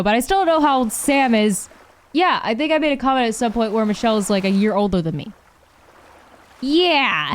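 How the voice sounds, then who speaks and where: A woman in her twenties commenting on a visual novel, chatty and excitable, often laughing. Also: sits high. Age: 20s. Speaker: streamer. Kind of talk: game commentary.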